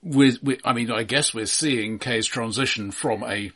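A man co-hosting a casual film discussion, measured and analytical, often qualifying what he says. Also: mid-range voice, a bit bright, husky. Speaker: film podcast co-host.

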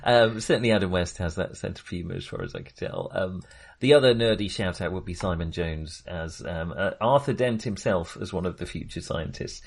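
Low-pitched man chatting about films. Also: big pitch swings, fairly quick, vocal fry. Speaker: podcast co-host. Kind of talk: casual film discussion.

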